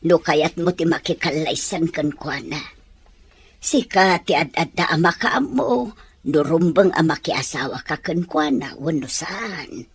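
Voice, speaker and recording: A woman playing a loving, worried middle-aged mother with an Ilocano accent, gently pleading in an audio drama. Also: sits low, animated, a little slow, slightly rough, exaggerated voice. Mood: resigned, caring. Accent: Ilocano Filipino. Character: worried, loving poor mother of a teenage daughter.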